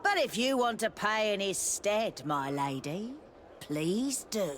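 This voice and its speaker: A man's voice: high voice, lively intonation, takes it slowly, fairly bright tone, a little hiss or hum, exaggerated voice. A man playing a sneering, swaggering debt-collecting thug in a video game.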